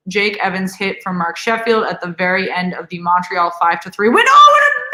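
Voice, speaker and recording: Bright, chatty young woman in echoey room. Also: mid-range voice. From Boston. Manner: chatty, laughing, promotional asides. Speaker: co-host. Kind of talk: live hockey watch-along chat. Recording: roomy echo.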